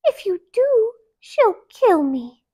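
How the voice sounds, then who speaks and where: Woman narrator doing a high, sweet young princess, gentle and bright. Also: very animated, unhurried, no echo. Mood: pleading.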